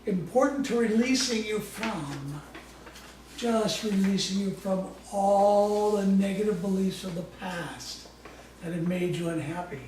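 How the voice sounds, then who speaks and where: A man's voice: high-pitched, measured pace, a little mellow. A man leading a live guided imagery exercise in a soothing, coaxing, informal way, often repeating short phrases. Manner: folksy, informal wording. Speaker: guest presenter demonstrating guided imagery. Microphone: distant microphone. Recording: echoey room from far off, faint noise.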